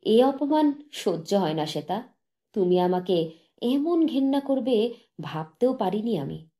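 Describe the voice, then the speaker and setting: An embittered, sick husband from an audiobook story, acted by a woman. The voice is loud, accusing and jealous, lifted in pitch and pressed hard on the words. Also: sing-song, unhurried. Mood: wounded. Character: bitter, diseased, jealous husband raging at his wife. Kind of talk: dramatised audiobook reading.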